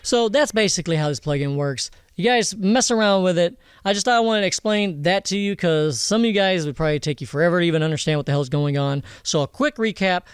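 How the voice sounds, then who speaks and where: A man hosting a casual software tutorial in an informal, slangy way, swearing now and then. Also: high-pitched, clean tone, no room sound, studio quality. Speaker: tutorial host.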